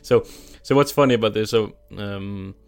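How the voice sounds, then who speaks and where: A man from Norway with a Norwegian accent, co-hosting a casual film review podcast, halting with restarts and laughs. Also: fairly low pitch. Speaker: podcast co-host.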